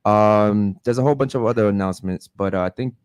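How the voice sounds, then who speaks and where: Male podcast host, low-pitched, rapid casual delivery. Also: darkish tone, smooth, no room sound. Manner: loose, laughs often, says "man" a lot.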